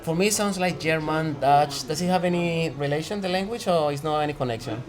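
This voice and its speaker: A man's voice: a little high, fairly quick. Curious male interviewer. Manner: curious, asks short questions, backchannels. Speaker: interviewer, host. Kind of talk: conversational interview.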